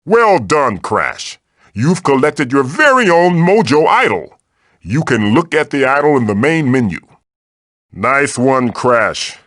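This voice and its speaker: A man's voice: mid-range voice, fairly bright tone, dry recording, clean studio sound. A man voicing a magical floating tiki mask in a video game, a fatherly guide who is theatrical, encouraging and wry. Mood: proud, congratulatory. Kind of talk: video game character voice lines, tutorials and quips.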